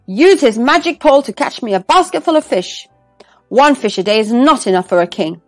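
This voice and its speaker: A woman playing a haughty shouting king. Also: on the high side, expressive, normal speed, no room sound, faint music behind. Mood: imperious. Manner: haughty, commanding, shouting orders. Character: proud demanding male king.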